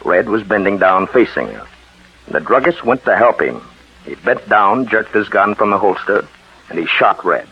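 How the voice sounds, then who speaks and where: An actor playing a shrewd, steady detective in a 1940s radio drama, assured and insistent as he explains. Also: on the low side, normal speed. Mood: assured, explanatory. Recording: muffled, husky-sounding 1940s radio recording.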